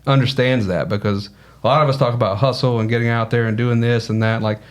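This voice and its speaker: A man with a Southern US accent chats as a podcast guest. His voice sits at a middle pitch, and his manner is easygoing and rambling. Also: fairly even intonation, fast. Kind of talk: casual podcast conversation.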